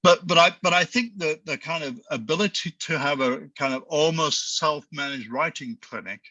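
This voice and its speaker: A man speaks on a panel over a video call. His voice has a very sharp, forceful edge, and the sound is completely dry and close. He talks animatedly, pushes his points hard, and often restarts his sentences. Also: a little high, normal speed, no echo. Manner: animated, emphatic, with frequent restarts. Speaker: business school professor.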